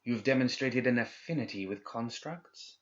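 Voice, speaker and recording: A man's voice: medium pitch, normal speed, natural voice. A man voicing a formal, coercive male official in an audiobook, speaking with polite menace. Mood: probing. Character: smooth-talking, coercive male Guild official. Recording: slightly dull, band-limited recording.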